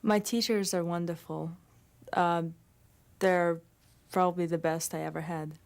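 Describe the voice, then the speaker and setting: A woman's voice: fairly low pitch, steady tone, measured pace, gravelly, darkish tone. A woman from Ukraine, a student answering interview questions plainly and matter-of-factly.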